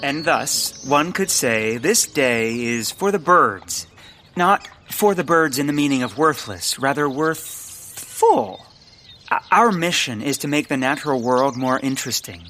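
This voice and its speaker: An actor playing an earnest, pompous, bumbling bird enthusiast in a comedic period audio drama, grandiose and stammering. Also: male voice, mid-range voice, expressive, measured pace, pushed and bright, faint music behind. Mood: grandiose, flustered.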